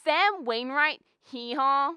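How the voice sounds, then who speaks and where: A young woman playing a brisk, matchmaking middle-aged mother in a radio drama, coaxing and gently scolding. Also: high-pitched, no echo, full character voice. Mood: insistent, teasing. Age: young adult.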